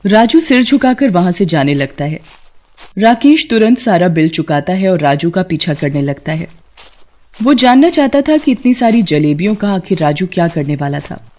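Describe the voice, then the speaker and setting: A woman's voice: on the low side, big pitch swings, normal speed, a little mellow, soft background music. A woman reading scripted narration for a children's moral story.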